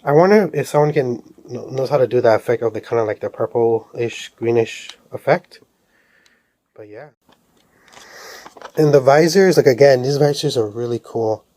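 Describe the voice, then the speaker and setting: A man's voice: medium pitch, normal speed, dark tone. A man giving a casual, rambling product review as an enthusiastic fan of collectibles. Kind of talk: casual unboxing review vlog.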